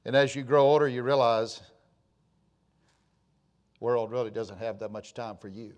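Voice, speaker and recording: A sixty-year-old man preaching a sermon as pastor, repeating phrases and swelling to emphatic peaks. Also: mid-range voice. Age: 60s. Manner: slow, repetitive preaching with rising emphatic swells.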